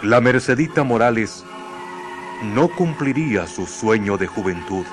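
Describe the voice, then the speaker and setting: A man's voice: mid-range voice, lively intonation, a little slow. A man with a Chilean accent narrating a scripted radio drama in a solemn, literary storytelling manner. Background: music.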